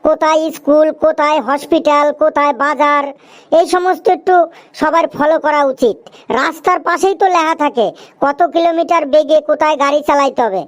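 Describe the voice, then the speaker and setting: Adult performer as chatty young cartoon pal, extremely high-pitched, eastern Bengali dialect, earnest, scolding, colloquial. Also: brisk, close to an everyday voice. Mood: earnest, preachy. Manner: preachy, emphatic, colloquial. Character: talkative food-loving young cartoon friend, earnest and scolding. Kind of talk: cartoon dialogue with moral advice.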